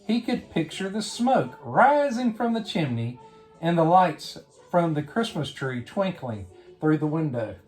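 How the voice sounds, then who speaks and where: A woman reading a picture book aloud to a young child, pausing to ask simple questions. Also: sits low, very animated, darkish tone, faint music behind. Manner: simple questions and prompts addressed to a small child. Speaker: bedtime story reader.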